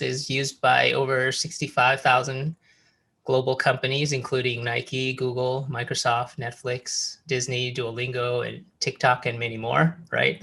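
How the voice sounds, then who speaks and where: A man's voice: medium pitch, creaky, sharp tone. A man hosting a founder interview podcast, eager and upbeat as he asks questions. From Malaysia. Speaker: podcast host.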